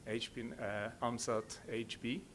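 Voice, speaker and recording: A middle-aged man with a Swiss accent giving an informal slide presentation in a halting, hesitant way. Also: flat delivery, a bit bright. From Switzerland. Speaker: conference speaker. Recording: low rumbling background noise.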